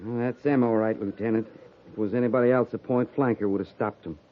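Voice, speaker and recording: A man's voice: on the low side, fairly even intonation, fast, lightly acted. An American man playing a loyal, folksy veteran cavalry sergeant in a 1950s radio drama, plainspoken and respectful. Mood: admiring, plainspoken. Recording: muffled, noisy 1950s radio recording.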